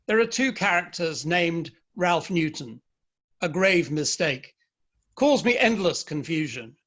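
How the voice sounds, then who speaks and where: A man's voice: on the high side, animated, measured pace, fairly bright tone. A man in an online play reading, playing a modest, wry middle-aged Victorian novelist at his club. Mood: wry self-deprecation.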